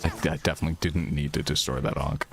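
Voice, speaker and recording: Deep-voiced male streamer, soft and slow, playful trash talk over game music. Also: steady tone, vocal fry. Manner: low, slow, soft-spoken ASMR delivery with blunt swearing; teasing, trash-talking teammates. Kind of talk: casual gameplay commentary. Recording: game music playing behind voice. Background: game music and sound effects.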